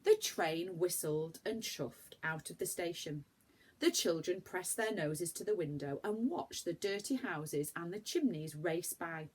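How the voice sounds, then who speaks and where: A woman reading a children's story aloud like a primary school teacher, with animated, dramatic emphasis for young listeners. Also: lowish voice, a little slow, slightly rough, rough recording.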